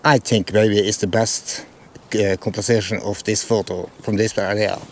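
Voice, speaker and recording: A man's voice: on the low side, flat delivery, normal speed, slight background noise. Norwegian-accented man, hesitant vlogger. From Norway. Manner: hesitant, many fillers and restarts. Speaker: photography vlogger. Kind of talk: casual explanatory vlog.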